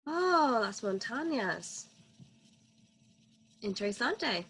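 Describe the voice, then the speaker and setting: A young woman co-hosting a children's read-aloud on a video call, teaching with enthusiastic questions in an animated way. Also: a little high, takes it slowly, slight background noise.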